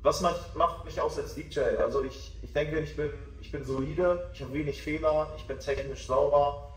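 A man in his twenties, a DJ, talking about his music in a relaxed, self-assured way during a casual interview. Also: on the high side, normal speed, far from the mic, slight background noise. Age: 20s. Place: small backstage room with sofa, indoors. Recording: echoey room, voice off-mic.

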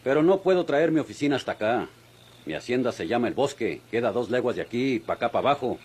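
An actor playing a stubborn old rancher and moneylender in a radio drama, curt and haggling, with a rural Mexican accent. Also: male voice, mid-range voice, big pitch swings, quick pace, a little mellow, husky. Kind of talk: Mexican golden-age radio drama acting.

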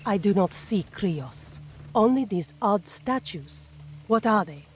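An actress playing a serious, vigilant warrior companion in a video game cutscene. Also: female voice, medium pitch, expressive, slow, a little hiss or hum. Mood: serious, questioning.

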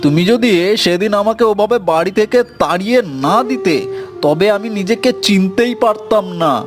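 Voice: medium pitch, normal speed, natural voice. Cartoon casting: eager, sincere young male vendor acted out, emphatic and repetitive, music underneath. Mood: earnest, emotional. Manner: emphatic, repeats phrases. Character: eager young son turned noodle street vendor, sincere. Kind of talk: cartoon voice acting with singsong hawking.

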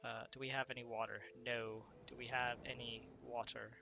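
Male let's-play commentator, congested, conversational and self-questioning, game soundtrack clearly audible behind him. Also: medium pitch, little pitch movement, moderate pace, over music. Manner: chatty, thinks aloud, asks himself questions. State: stuffed up, congested. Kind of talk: casual gameplay commentary.

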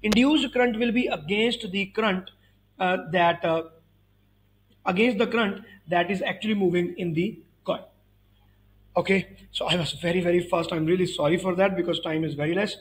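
A man's voice: high voice, moderate pace, slight background noise, poor audio. A man in his thirties giving an explanatory physics tutorial, emphatically repeating key phrases. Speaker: physics teacher.

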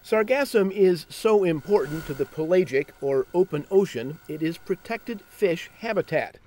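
A man giving a scripted, measured narration for a science news segment. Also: sits high, animated, darkish tone, slight background noise.

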